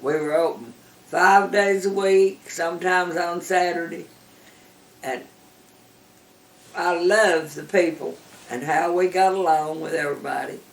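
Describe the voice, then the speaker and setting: An older woman, recalling her years of work in a warm, plainspoken way. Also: fairly low pitch, fairly even intonation, a little slow, long pauses. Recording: echoey room, distant mic.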